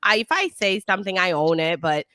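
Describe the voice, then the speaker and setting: A woman from Detroit whose first language is Italian, hosting a podcast and chatting in a blunt, joking, animated way. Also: medium pitch, fairly quick, no room sound, studio quality. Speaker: podcast host. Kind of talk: casual podcast chat.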